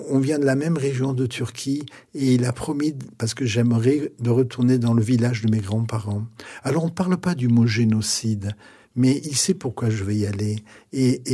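A man's voice: medium pitch, mellow, breathy edge. An older man, a pastor, telling his story in a radio interview in an earnest, reflective way. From France. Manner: earnest, reflective, preacherly pauses.

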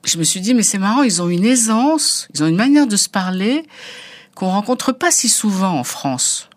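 A woman photographer from Algeria, a native Arabic speaker, recalling her past in an interview. She speaks slowly and hesitantly, pausing on fillers as she gathers her thoughts. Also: mid-range voice, big pitch swings. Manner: slow, hesitant, many fillers. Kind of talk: reflective personal storytelling.

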